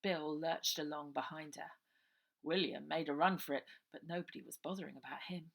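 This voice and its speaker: A woman's voice: deep voice, fairly even intonation, moderate pace, gravelly. A woman reading her children's novel aloud as a dramatic storybook narration.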